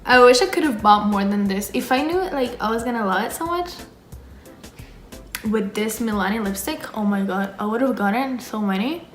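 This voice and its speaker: A woman's voice: fairly high pitch, moderate pace. A young woman from the Dominican Republic, a native Spanish speaker, chatting casually through a makeup tutorial and swearing offhand now and then. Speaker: beauty vlogger. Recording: echoey room with background music.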